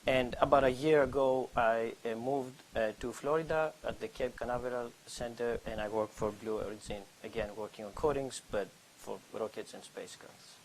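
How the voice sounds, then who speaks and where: A man's voice: medium pitch, steady tone, moderate pace, rough recording. A man, an industry scientist, introducing himself and his background at a panel discussion. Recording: hissy, noticeable background noise.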